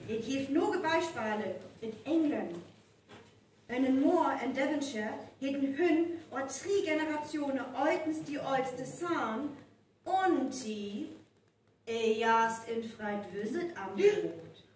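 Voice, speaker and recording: Eine Frau spielt in einem live aufgeführten nordfriesischen Hörspiel eine gesprächige, ernsthafte Ethnologin. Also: female voice, sits high, lively intonation, slow pace, a little mellow. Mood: earnest, explaining. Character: talkative woman ethnologist from Kiel university, earnest. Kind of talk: live stage radio play acting in North Frisian. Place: live stage performance in a hall. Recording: roomy echoing live recording, distant, faint noise.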